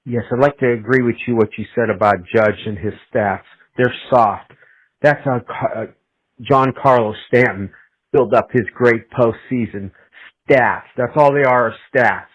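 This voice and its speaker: Male caller, medium pitch, opinionated voicemail, dry and slightly lo-fi phone sound. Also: unhurried, husky, no echo. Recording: dull lo-fi phone recording.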